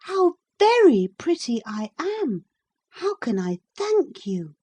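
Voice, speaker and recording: Woman voicing a high, eager young princess. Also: very animated, a little slow, warm tone. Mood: pleading. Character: young princess disguised as a beggar maid.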